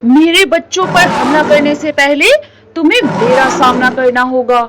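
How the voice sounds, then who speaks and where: A woman's voice: high voice, normal speed, very noisy, lightly acted. A woman voicing a noble, protective lion, stern and defiant, speaking in solemn declarations for a cartoon story. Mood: protective, fierce.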